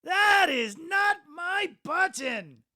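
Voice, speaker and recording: Man voicing a grumpy, exasperated storybook toad, swooping pitch. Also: big pitch swings, drawn-out words, sharp tone, no echo, clean studio sound, full character voice. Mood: loud indignant protest. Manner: indignant, emphatic complaining. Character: grumpy, exasperated male toad, easily upset storybook animal.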